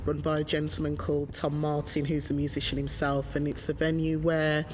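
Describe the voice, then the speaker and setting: Low-voiced woman, chatty and halting. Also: monotone, slight background noise. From Birmingham, England. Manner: hesitant, many ums, chatty and rambling. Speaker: singer in a band, interviewee. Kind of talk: casual interview talk. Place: music venue with murals.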